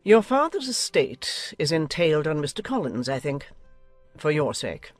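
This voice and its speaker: A woman's voice: deep voice, sing-song, normal speed, no echo, faint music behind. Haughty older lady, bossy and nosy, voice swoops all over, period audiobook drama, very dry sound. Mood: imperious, condescending. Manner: haughty, decisive, interrogating. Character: imperious, nosy older aristocratic English lady.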